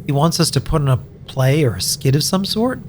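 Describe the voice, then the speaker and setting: A man's voice: on the high side, very animated, fairly quick, a little hiss or hum. Wry, bewildered young guy. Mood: wry, baffled. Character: wry, foul-mouthed young man, bewildered captive. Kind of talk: audio drama acting.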